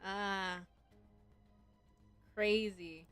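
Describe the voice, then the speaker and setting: A woman's voice: medium pitch, fairly even intonation, extremely slow, a bit bright, clean tone. A woman streamer giving casual, musing gameplay commentary and reading story text aloud. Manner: informal, musing, frequent "like". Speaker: game streamer. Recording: game music behind the voice.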